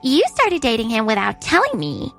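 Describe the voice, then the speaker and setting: A woman's voice: fairly high pitch, huge pitch swings, normal speed, a bit bright, soft background music, full character voice. A woman voicing a smug, spiteful young mistress in a dubbed manga drama. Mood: spiteful, accusing.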